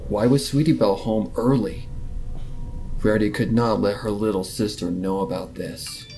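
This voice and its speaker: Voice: sits low, steady tone, normal speed, dark tone. Story narrator with music behind.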